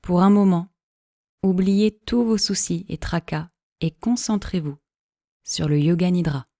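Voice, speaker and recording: A woman teaching yoga nidra, giving calm, measured guided-meditation instructions in a soothing way with long pauses. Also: on the low side, no room sound, studio quality.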